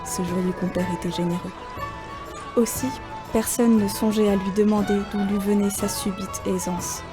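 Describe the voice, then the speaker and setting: A woman's voice: medium pitch, level tone, moderate pace. A woman narrating a folk tale as a scripted audio story. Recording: faint music behind. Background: faint music.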